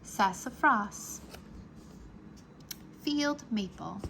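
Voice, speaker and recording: A woman reading a children's book aloud like a teacher, expressive and carefully enunciated for young listeners. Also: medium pitch, very slow, vocal fry, a little hiss or hum. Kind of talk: children's read-aloud narration, rhyming nonfiction.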